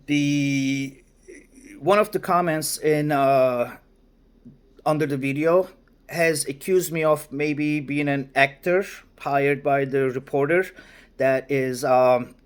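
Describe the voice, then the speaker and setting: A man in his forties from Turkey, speaking English with a Turkish accent in a formal, deliberate way, pausing to search for words in a response vlog. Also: fairly high pitch, steady tone, clear, resonant tone. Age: 40s. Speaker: vlogger. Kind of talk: direct-to-camera response monologue.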